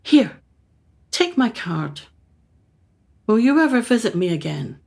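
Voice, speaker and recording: An actress performs a haughty woman painter in a radio play. She speaks slowly and archly, with theatrical, very lively swings of pitch. Every phrase carries cool condescension and self-regard. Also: vocal fry, heavily acted. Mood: wistful.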